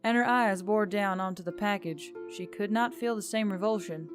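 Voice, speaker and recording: A woman reading scripted fiction as a story narrator, in an expressive storytelling style. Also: mid-range voice. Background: background music.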